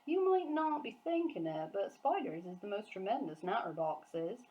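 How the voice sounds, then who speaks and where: Storybook giant, played by a woman: proud, earnest, wonder-struck, emphatic phrasing with very animated pitch. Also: normal speed, lo-fi sound, lightly acted. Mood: excited wonder. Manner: emphatic, quaint muddled grammar. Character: big friendly giant man, earnest, proud, childlike wonder. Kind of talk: acted children's storybook dialogue.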